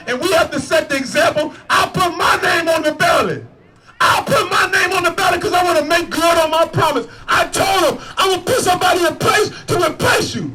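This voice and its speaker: A man running for office, giving a fiery shouted protest speech at a rally with a repetitive, preacher-like cadence. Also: very high-pitched. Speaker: political candidate at a rally.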